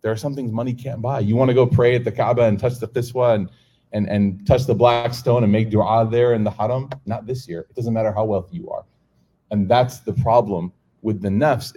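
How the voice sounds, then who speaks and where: A middle-aged man with an American accent gives an informal sermon to a live audience, telling stories, acting out the dialogue and laughing often. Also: medium pitch. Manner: animated storytelling, acts out dialogue, laughs often.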